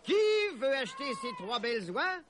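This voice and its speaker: A man's voice: sits high, big pitch swings, unhurried, a little hiss or hum, voice pushed up. A man voicing an earnest, hopeful young peasant son with an eager manner in a storybook. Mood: calling out.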